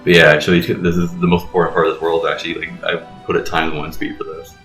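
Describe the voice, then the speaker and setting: A deep-voiced man chats casually through a video game, explaining things at a rapid clip and swearing freely. The room adds clear echo, and game music plays faintly underneath. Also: little pitch movement, a bit bright. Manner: explanatory, profane. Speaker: let's play host playing and explaining the game. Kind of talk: casual gameplay commentary.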